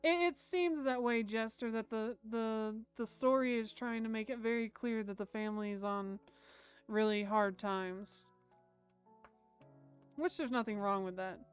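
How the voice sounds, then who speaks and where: High-pitched woman chatting on a game stream. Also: unhurried, smooth. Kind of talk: casual live stream chat mixed with reading a visual novel aloud. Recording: music playing behind the voice. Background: background music.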